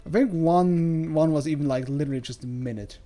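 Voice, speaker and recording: A man's voice: a little high, fairly quick. A man from Switzerland giving casual, rambling, chatty livestream commentary while playing a game, with some joking swearing. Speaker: game streamer. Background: soft game music.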